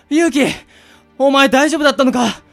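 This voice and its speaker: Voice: sits high, vocal fry, exaggerated voice. A performer playing a caring young male college friend in a radio drama, with blunt, casual boyish speech. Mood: worried. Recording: music bed under the voice.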